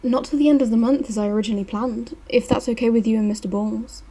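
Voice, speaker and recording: A woman's voice: fairly high pitch, fast, mellow, breathy edge. A woman playing a hesitant, guarded young artist staying as a house guest.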